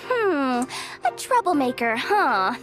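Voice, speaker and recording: A spirited young girl from a video game, with a very high voice that swings around a lot. She is cheeky and boastful and likes to lay down the rules. Music plays clearly underneath. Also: sing-song, takes it slowly, heavily acted. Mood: tough, cocky. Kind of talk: video game character voice acting. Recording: music behind the voice.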